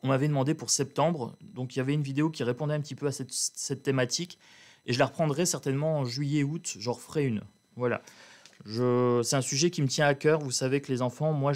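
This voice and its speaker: A man's voice: medium pitch, a little mellow. A man giving a casual, outspoken card reading to his viewers, drawling with many fillers and restarts. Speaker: card reader. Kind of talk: casual tarot reading to camera.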